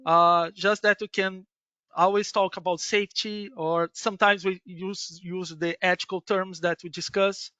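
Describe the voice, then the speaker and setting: A middle-aged Brazilian man presents a webinar in halting, accented English, restarting phrases and tagging "yes". His voice sits high and sounds bright and clean. The audio is dry and a little muffled. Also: flat delivery, clean tone, no echo. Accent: Brazilian Portuguese. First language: Portuguese. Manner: halting non-native English with many restarts and "yes" tags. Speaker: business development manager presenting a webinar.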